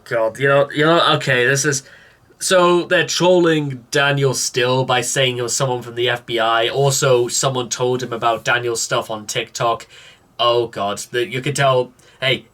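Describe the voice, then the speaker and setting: A man's voice: mid-range voice, bright, forceful tone. A man giving off-the-cuff reaction commentary, musing aloud in an exasperated way.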